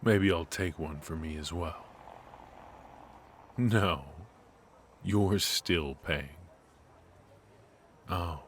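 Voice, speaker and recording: A male actor plays a ruthless mafia boss with a very deep voice. He talks slowly and intimately, teasing and flirting with hums and long pauses in an ASMR roleplay. A faint noise sits behind the voice. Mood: teasing, mock-aggrieved. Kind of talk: ASMR roleplay acting, intimate one-sided conversation.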